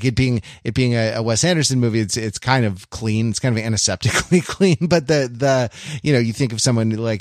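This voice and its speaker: A man co-hosting a podcast, discussing film casually and analytically in a halting way, often repeating words as he works out his ideas. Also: on the low side, no room sound, clean studio sound. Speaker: podcast co-host.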